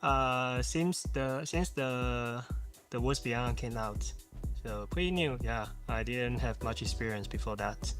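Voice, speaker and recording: A man from Taiwan, a new tournament player, giving a halting, nervous interview full of restarts. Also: mid-range voice, fairly bright tone, soft background music. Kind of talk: pre-recorded player interview.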